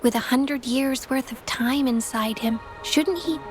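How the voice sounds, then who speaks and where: A curious young listener in a video game. Her voice sits a little high and has a questioning tone. Soft music runs behind her. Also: measured pace. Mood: curious, puzzled.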